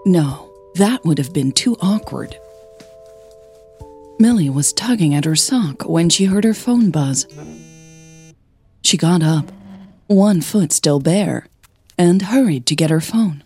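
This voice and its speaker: A woman podcast host reading scripted fiction as narrator, in a measured storytelling manner. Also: lowish voice, animated, darkish tone, faint music behind.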